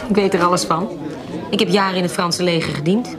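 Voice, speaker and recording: Actress as a low-voiced, shrewd adventuress. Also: female voice, steady tone, quick pace, a crowd in the background, close to an everyday voice. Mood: cool, teasing. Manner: guarded, evasive. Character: shrewd, self-possessed adventuress, ex-soldier, aunt. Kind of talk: Dutch radio drama acting.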